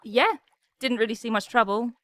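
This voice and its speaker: High-voiced, wry knight bodyguard, acted by a woman. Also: fairly even intonation, normal speed, fairly bright tone, no echo, lightly acted. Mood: matter-of-fact. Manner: casual, dry, matter-of-fact. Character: practical, wry female knight bodyguard, protective, grumbles amiably. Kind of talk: audio drama acting. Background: music.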